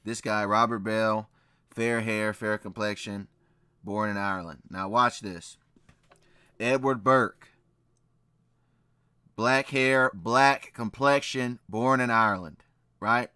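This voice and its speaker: Low, bright-toned man reading records. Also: slow pace, pauses often, smooth, dry recording. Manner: emphatic, repeats key phrases, asks "right?". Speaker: channel host reacting to a video. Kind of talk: casual commentary, reading documents aloud.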